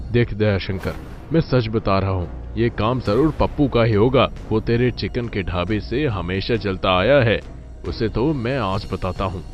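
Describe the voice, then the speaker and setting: A man's voice: on the low side, measured pace, natural voice. A man voicing an outspoken, insistent village eatery owner and loyal neighbour in a cartoon tale. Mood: accusing. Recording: dull lo-fi track with music.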